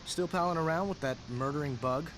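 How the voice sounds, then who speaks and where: A man's voice: fairly high pitch, a little fast, over music, close to an everyday voice. A man voicing a bitter, vengeful young heir in a video game. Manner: bitter, intense.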